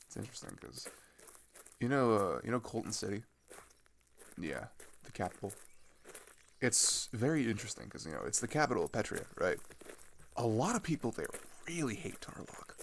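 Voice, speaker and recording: A man's voice: lowish voice, big pitch swings, normal speed, pauses often, slight background noise. A man playing an earnest, disillusioned teenage traveler in an ASMR roleplay, talking hesitantly and conversationally, often trailing off. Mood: exasperated. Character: chatty, earnest, disillusioned male teenager on a journey. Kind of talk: ASMR roleplay, naturalistic improvised-sounding monologue.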